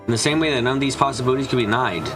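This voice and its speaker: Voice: medium pitch, very fast, close to an everyday voice. An amateur actor voicing a musing, theatrical young witch in a visual novel fan dub, with music behind. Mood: musing, explanatory.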